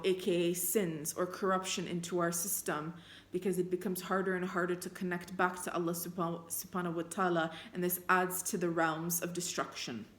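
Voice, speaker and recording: Low-voiced woman in an echoey room. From Armenia. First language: Armenian. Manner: earnest, unhurried, thinking aloud with fillers and restarts. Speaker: spiritual vlogger. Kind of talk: reflective talk mixed with reading scripture aloud.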